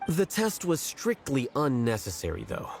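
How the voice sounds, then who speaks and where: An actor playing an aloof young male scholar in a video game, speaking in a dry, measured, matter-of-fact way. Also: mid-range voice, wildly swooping pitch, vocal fry, darkish tone, slight background noise.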